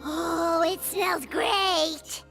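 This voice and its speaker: Tiny, very high-pitched fairy companion. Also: female voice, drawn-out words, gravelly, faint music behind, heavily acted. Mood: cheerful, curious. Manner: exclamatory, expressive. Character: small chirpy childlike fairy companion, excitable and curious. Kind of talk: animated video game voice acting.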